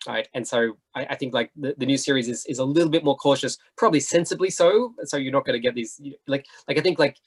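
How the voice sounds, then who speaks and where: Fast-talking man with a husky edge. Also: mid-range voice. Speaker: panelist. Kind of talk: casual panel discussion.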